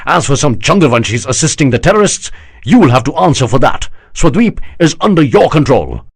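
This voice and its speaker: A male narrator plays a proud army general in an audiobook, scornful and unbending. The voice is husky with a lively, swinging pitch. He speaks with flat certainty. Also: brisk, heavily acted. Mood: stern. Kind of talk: audiobook character voice.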